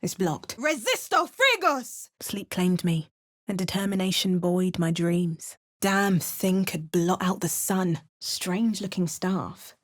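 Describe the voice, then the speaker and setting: A woman playing a wry, determined adventurer in a fantasy video game, speaking in a measured, theatrical way. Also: lowish voice, expressive, no room sound, studio quality. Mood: commanding incantation. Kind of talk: video game voice lines, dramatic narration and incantations.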